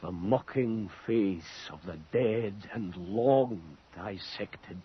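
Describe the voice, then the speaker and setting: An actor playing a haunted young anatomist's assistant turned grave robber, with a grave, literary, dramatic delivery in a radio horror play. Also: male voice, lowish voice, very slow. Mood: horrified. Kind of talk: gothic radio horror drama acting and narration. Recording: dull, noisy radio drama recording.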